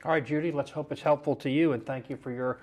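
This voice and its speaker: A man hosting a health call-in show, briskly welcoming callers. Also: fairly high pitch, steady tone, a little mellow. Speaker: host.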